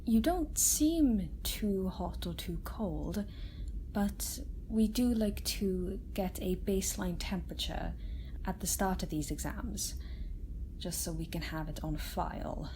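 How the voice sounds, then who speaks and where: A woman playing a kind, gentle scientist doctor in a soft-spoken ASMR roleplay, reassuring a frightened alien patient with careful, halting explanations. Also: on the low side, a little hiss or hum, low-quality recording. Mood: calm, explaining. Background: sci-fi room ambience.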